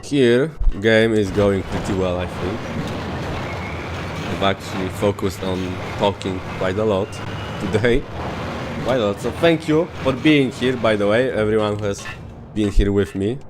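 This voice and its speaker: A man's voice: fairly low pitch, a little slow. A man in his thirties with a Polish accent, chatting loosely with viewers and swearing at the game as he streams. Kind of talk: casual livestream chat over gameplay. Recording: noisy game audio underneath. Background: game gunfire and ambience.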